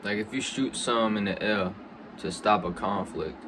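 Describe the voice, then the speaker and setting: A young man reacts casually to gameplay footage. His voice sits on the low side. Loud game noise runs behind him as he comments. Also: normal speed. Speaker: reaction video host. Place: small room at home. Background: game audio with vehicle sounds.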